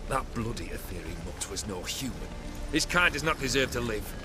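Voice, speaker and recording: A mid-pitched man an actor in role plays a ruthless, aristocratic bandit leader in a video game. He speaks with cold hauteur and mocking, formal phrasing. Music is clearly audible behind him. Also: big pitch swings, brisk, lightly acted. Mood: cold, contemptuous.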